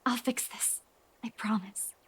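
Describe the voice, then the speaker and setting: Anxious, high-voiced young woman. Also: steady tone, a little slow, husky. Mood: guilty, tearful. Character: anxious, gentle young woman, guilt-ridden but resolute. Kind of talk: visual novel voice acting.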